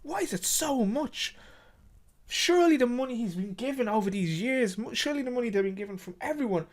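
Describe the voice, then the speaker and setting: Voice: medium pitch, expressive, a little fast, voice pushed up, natural voice. A storyteller playing a frustrated, indignant man who vents emphatically and repeats himself in a short story. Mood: exasperated.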